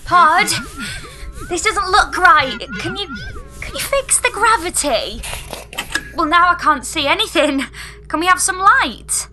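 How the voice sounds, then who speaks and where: High-voiced weary woman space engineer. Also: lively intonation, measured pace, long pauses. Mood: panicked. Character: weary, sardonic female space engineer trapped in pod. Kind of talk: audio comedy drama acting.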